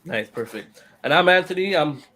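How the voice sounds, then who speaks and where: A man's voice: on the high side, lively intonation, moderate pace, sharp tone, low-quality recording. A man from Guyana hosting a podcast over a video call, upbeat and chuckling between turns. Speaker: podcast host. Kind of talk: casual group chat.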